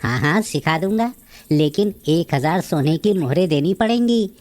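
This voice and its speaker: A man playing a sly sweet-maker who is secretly a conjurer, deliberate and bargaining, in a cartoon moral story. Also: on the high side, animated, a little mellow, no room sound, close to an everyday voice. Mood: sly, boastful.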